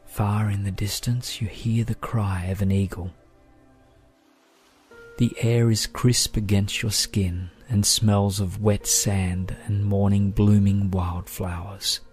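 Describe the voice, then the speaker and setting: A man narrating a guided meditation in a gentle, soothing, measured way. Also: on the low side, level tone. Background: music.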